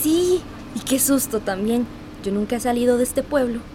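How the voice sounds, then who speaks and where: A woman's voice: on the high side, expressive, moderate pace, dark tone, lightly acted. An actress playing a nervous, earnest young village mother in a radio drama. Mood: nervous, hopeful. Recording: noisy ambience, vehicle sounds.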